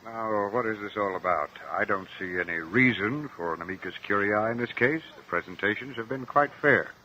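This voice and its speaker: An actor playing a stern, fair-minded judge, speaking formally and with authority in a radio courtroom drama. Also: male voice, lowish voice, moderate pace, bright, forceful tone. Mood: judicious. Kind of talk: old-time radio courtroom drama acting. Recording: dull, noisy old radio recording.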